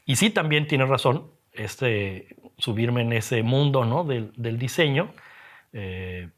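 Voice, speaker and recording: A middle-aged man with a Mexican accent, talking reflectively in an interview, haltingly and with frequent tag questions. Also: mid-range voice, expressive. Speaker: interviewed graphic designer and teacher. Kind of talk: reflective personal interview talk.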